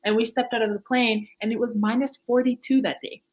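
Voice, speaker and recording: Young woman from Fiji, podcast guest, lively storyteller with lots of laughs. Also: fairly high pitch, brisk, dull audio, heavy background noise. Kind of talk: casual interview chat.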